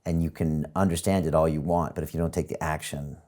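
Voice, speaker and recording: A man with a deep, low-pitched voice hosts an interview show. He talks conversationally, reflecting ideas back and paraphrasing them in casual words as he leads into questions. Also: steady tone, quick pace, a little mellow.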